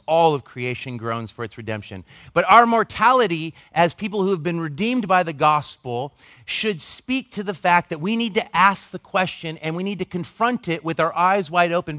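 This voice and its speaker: Guy in his 40s preaching, kinda high voice, earnest but jokey about himself, really dry sound. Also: a bit bright, no room sound. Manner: earnest, emphatic, with self-deprecating humor and asides. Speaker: pastor preaching to his church. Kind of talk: conversational sermon.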